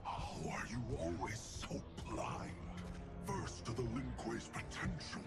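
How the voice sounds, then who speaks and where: Gravelly, menacing ninja villain. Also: male voice, medium pitch, very animated, slow, exaggerated voice. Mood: scornful menace. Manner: menacing, proclaiming. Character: corrupted, zealous male ninja villain, brother-turned-enemy. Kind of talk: video game cutscene acting. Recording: loud game music behind voice.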